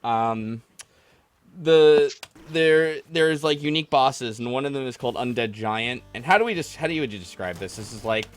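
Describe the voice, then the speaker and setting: Male live-stream host, mid-pitched, joking and mock-combative, casual gamer talk with swearing, music faintly behind. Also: lively intonation, normal speed. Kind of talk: casual banter with callers about video games.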